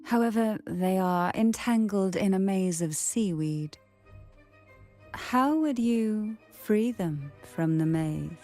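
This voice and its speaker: An actress playing a composed, enigmatic woman who speaks in a measured, poetic way in a video game. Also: medium pitch, mellow. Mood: calm, mysterious. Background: game music.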